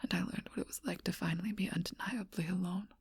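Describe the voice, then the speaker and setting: A woman voicing an ancient, lonely, seductive female vampire in a whispered ASMR role-play, speaking slowly and softly into a close mic. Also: on the low side, steady tone, breathy edge, no room sound. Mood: mournful whisper. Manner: soft intimate whisper, slow and measured.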